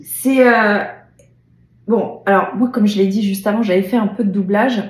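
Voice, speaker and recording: A woman's voice: medium pitch, fairly even intonation, normal speed, darkish tone, echoey room. A woman interviewing a voice actor in casual chat, sharing her own dubbing memories expressively.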